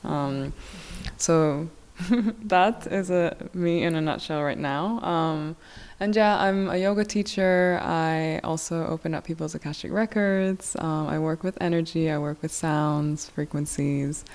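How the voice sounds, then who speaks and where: Slow, low-voiced woman guest. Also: fairly even intonation, clean tone. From South Korea. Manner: slow, hesitant, many fillers and soft laughs. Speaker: guest, yoga teacher. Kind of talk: casual podcast interview.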